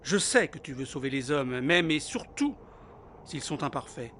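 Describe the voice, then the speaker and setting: An actor playing a grumbling, vengeful sea god who talks in casual slang, in a comic audio drama. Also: male voice, mid-range voice, animated, normal speed, fairly bright tone, a little hiss or hum, lightly acted. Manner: slangy, petulant.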